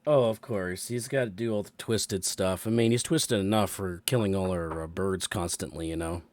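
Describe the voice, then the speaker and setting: Brief: low, hoarse host with a cold, dry close sound, halting and chatty. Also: male voice, darkish tone, no room sound. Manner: halting, many ums and restarts. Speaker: dungeon master and show host. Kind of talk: casual announcements and chat. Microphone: new microphone.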